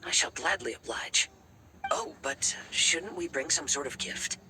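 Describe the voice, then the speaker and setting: A man voicing a refined, gracious and poetic young traveller with a breathy voice in an anime game. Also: a little high, sing-song, a little slow, bright, forceful tone, slight background noise, heavily acted.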